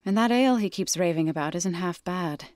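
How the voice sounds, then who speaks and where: Low-pitched, earnest warrior woman, played by an actress. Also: a little fast, a little mellow, no room sound, clean studio sound, lightly acted. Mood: earnest, steady. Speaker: video game character. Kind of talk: scripted video game dialogue.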